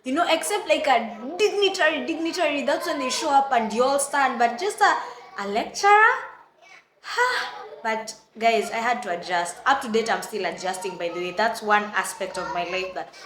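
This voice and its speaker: Lively Kenyan woman, bright and echoey. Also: a little high, moderate pace. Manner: animated, exclaiming, acting out quotes. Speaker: vlogger. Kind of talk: casual storytime.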